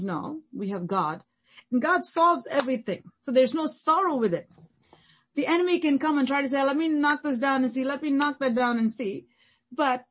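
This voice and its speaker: Woman pastor, sermon delivery, breathy tone, insistent and repetitive. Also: a little high. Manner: repetitive, emphatic preaching with building lists.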